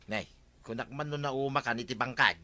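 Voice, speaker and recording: An actor playing a cocky, evasive village husband with an Ilocano accent in a radio comedy drama. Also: male voice, medium pitch, moderate pace, bright, forceful tone. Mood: pleased. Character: cocky, evasive village husband who dodges farm work.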